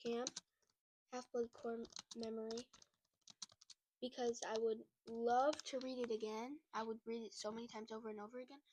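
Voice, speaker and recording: A woman's voice: fairly high pitch, flat delivery, moderate pace, background noise. A woman chatting casually to her viewers while a little sick, rambling with lots of fillers and restarts. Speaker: book content creator. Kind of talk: casual chat to camera.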